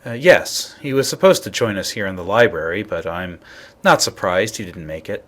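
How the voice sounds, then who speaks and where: A man acting a composed, pragmatic space explorer in a video game, explaining things in a measured way. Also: low-pitched, expressive, fairly bright tone. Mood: unsurprised.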